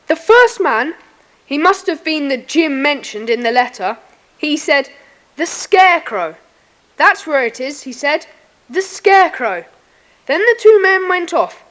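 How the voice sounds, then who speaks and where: A woman's voice: high voice, very animated, measured pace, fairly bright tone, full character voice. A woman playing an earnest, eager schoolboy who explains things carefully in a children's audiobook drama. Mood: eager, puzzled. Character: eager, earnest schoolboy telling his news.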